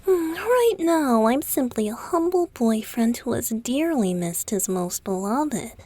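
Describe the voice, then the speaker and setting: A woman voicing a tender, playful young male pop idol boyfriend in an intimate ASMR roleplay, soft, breathy and often whispering, with a hesitant, affectionate delivery. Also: a little high, very animated, no echo. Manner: slow, hesitant, affectionate, coy.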